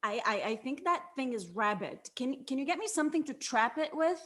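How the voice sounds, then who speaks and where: Plucky young woman played by an actress, high-pitched, wry, casual, drama table read. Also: animated, a little fast, a bit bright. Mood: nervous, urgent. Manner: wry, sweary.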